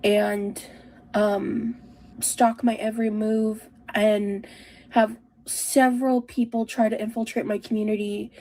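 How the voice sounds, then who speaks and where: Woman in her 30s talking real slow and mellow, halting, self-reflective personal vlog. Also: mid-range voice, little pitch movement.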